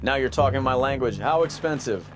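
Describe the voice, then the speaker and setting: An actor playing an eager, pressing newspaper reporter in a 1930s-style noir radio drama. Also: male voice, medium pitch, animated, fairly quick, slight background noise, natural voice. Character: pushy male newspaper reporter, hungry for a headline.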